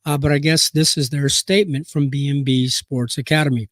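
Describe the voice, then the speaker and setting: A man from Nebraska hosting a boxing news live stream, hoarse from a cold, reading aloud haltingly with many fillers and restarts. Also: fairly high pitch, fairly even intonation, dry recording, clean studio sound.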